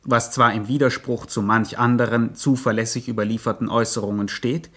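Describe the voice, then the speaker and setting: Mock-documentary radio narrator, average pitch, pedantic and solemnly tongue-in-cheek. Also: male voice, steady tone, brisk, fairly bright tone, slightly rough. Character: pedantic male chronicler narrating a gangster saga.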